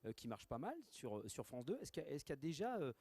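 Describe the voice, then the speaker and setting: A man interviewing two actors at a festival, asking brisk, leading questions. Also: a little high, big pitch swings, darkish tone, slightly rough.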